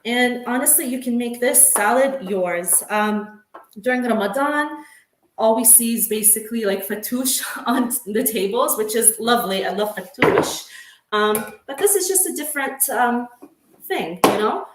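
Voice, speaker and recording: A woman's voice: fairly high pitch, lively intonation, measured pace, slight background noise. Casual woman cook demoing a recipe, roomy kitchen sound. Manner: chatty, with frequent ums and laughs. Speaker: home cook demonstrating a recipe. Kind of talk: casual cooking demo. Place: home kitchen.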